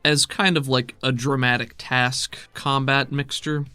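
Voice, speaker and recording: A man with a mid-pitched voice runs a tabletop roleplaying game. He describes scenes and explains how play will work as game master, with music faintly underneath. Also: little pitch movement, a little slow, sharp tone, smooth, no room sound. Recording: music behind the voice.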